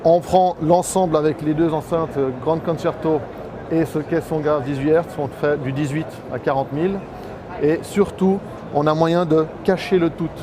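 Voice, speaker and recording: A man's voice: fairly high pitch, fairly even intonation, moderate pace, crowd noise. A man giving an explanatory, promotional product presentation, as a distributor at a trade show stand. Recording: noisy trade show floor ambience.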